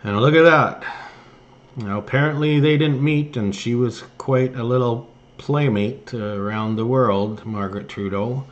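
A man hosting an online news commentary, reading articles aloud and remarking on them somewhat haltingly. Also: mid-range voice, dull audio. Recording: noticeable background noise.

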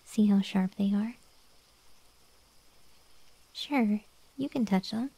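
A woman playing a gentle, lonely young gargoyle guardian in an ASMR roleplay, speaking in a soft, breathy, intimate whisper. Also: medium pitch, no echo, rough recording.

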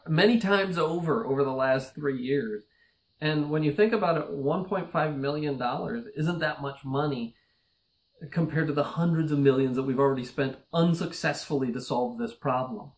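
A man's voice: fairly high pitch, rough recording. A man in his forties, a nonprofit research director, speaking straight to camera in an earnest, urgent appeal from a small office. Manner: earnest, urgent, building to emphatic pleas. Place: office with bookshelves, indoors. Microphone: close mic, seated on camera.

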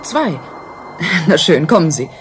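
A woman's voice: on the low side, very animated, slow pace, noisy background. An actress playing a modest, candid female stenographer with a reserved manner in a German science-fiction radio drama. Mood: matter-of-fact.

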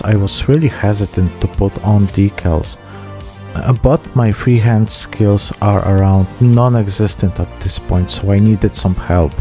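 A man in his thirties hosts a hobby painting vlog. His voice sits fairly low and has a very mellow, soft-edged tone. Faint music plays behind him. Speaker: hobby channel host. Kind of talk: miniature painting vlog narration.

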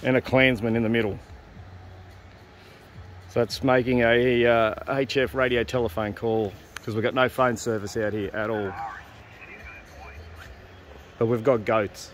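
A man's voice: medium pitch, level tone, measured pace, pauses often, soft background music. An Australian man chatting and narrating casually off the cuff while filming outdoors at a campsite. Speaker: camper filming and guiding a radio call. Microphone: handheld camera or phone mic. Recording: noisy outdoor phone-camera recording.

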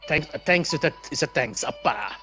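A man's voice: a little high, expressive, a little fast. A man hosting a gaming video, reading a visual novel aloud expressively and adding his own commentary. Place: small indoor room, seated at webcam. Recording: slightly dull, lo-fi microphone sound. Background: faint game music.

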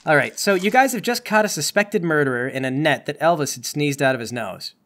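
Male game master, lively range, a bit high-pitched, wry and put-upon between narration and banter. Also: brisk. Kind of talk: tabletop roleplay narration and casual banter.

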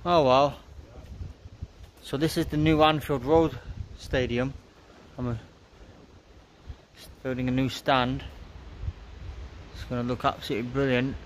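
A man filming a casual walking vlog outdoors, chatty and excited as he points things out on a handheld phone. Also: medium pitch, a little slow, long pauses, a little hiss or hum, low-quality recording. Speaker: vlogger filming a stadium walk. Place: outdoors around a stadium. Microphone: handheld phone camera.

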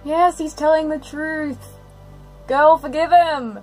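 A woman's voice: high voice, steady tone, takes it slowly, a little mellow, faint music behind, rough recording. A woman doing live reaction commentary on a cartoon, gushing and talking back to the characters. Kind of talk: live blind reaction commentary to an animated series.

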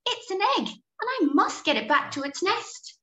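Woman voicing a squeaky-high, earnest little puffin chick. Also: big pitch swings, normal speed, bright, forceful tone, heavily acted. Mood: earnest, insistent. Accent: Irish. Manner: exclaiming, earnest and insistent. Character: young female puffin chick, earnest, determined, dismayed. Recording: muffled, echoey room recording.

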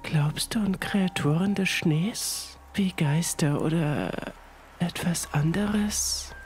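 Frightened young woman played by a man, whispery, slow, hesitant, horror audiobook. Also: fairly low pitch, a little mellow, soft background music, pitched above the performer's own voice. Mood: hushed, uneasy.

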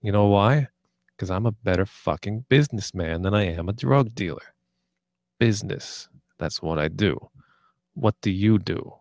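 A man's voice: lowish voice, expressive, normal speed, a little mellow, no room sound, studio quality. A man reading the part of a cocky, menacing, sarcastic young drug dealer in a screenplay table read. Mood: aggressive. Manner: sarcastic, foul-mouthed, taunting.

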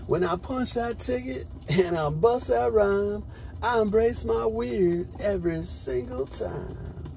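An American man performing rhyming spoken word poetry in a drawn-out, rhythmic, emphatic delivery. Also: high-pitched, animated, vocal fry, darkish tone.

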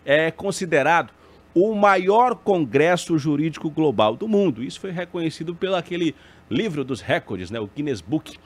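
A man's voice: on the high side, lively intonation, moderate pace. A man hosting a morning TV news program from a studio, introducing and questioning a guest in a conversational way with tag questions. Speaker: TV news host.

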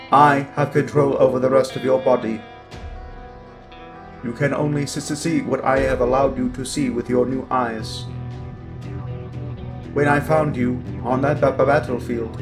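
Evil robot overlord, played by a man for audio roleplay: male, coldly superior, very measured pace, stuttering glitches, music underneath. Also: medium pitch. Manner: deliberate, glitching stutters on words. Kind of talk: scripted robot roleplay monologue.

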